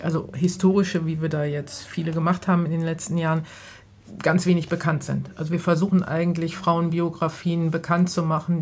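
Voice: mid-range voice, a little mellow. A guest from a women's theater collective explaining their work in a radio studio interview.

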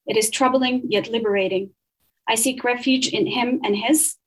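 A woman speaks in a somewhat high voice. She is earnest and measured, reading poetry and then explaining her research, stumbling and restarting now and then. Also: fairly even intonation, low-quality recording. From Saudi Arabia. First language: Arabic.

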